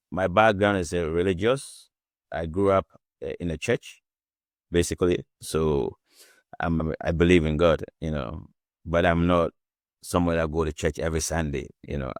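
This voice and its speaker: Man from Ghana, very low voice, dry sound, rambling storyteller who keeps saying "you know". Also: no echo. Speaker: guest author. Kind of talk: casual interview.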